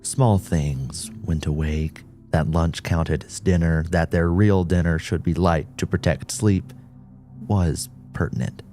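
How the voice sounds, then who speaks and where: A man's voice: low-pitched, fairly even intonation, takes it slowly, dark tone, faint music behind. A man reading a scripted story as an audiobook narrator.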